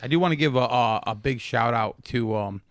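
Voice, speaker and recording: A man's voice: mid-range voice, brisk, no echo, studio quality. A man in his late thirties joking crudely and laughing often in casual podcast banter. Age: 30s. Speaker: podcast co-host.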